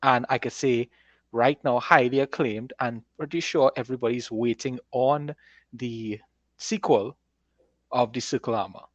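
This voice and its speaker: A man's voice: mid-range voice, a little slow, no echo, lo-fi sound. A man co-hosting a casual podcast, explaining things in an encouraging way. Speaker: podcast co-host and author.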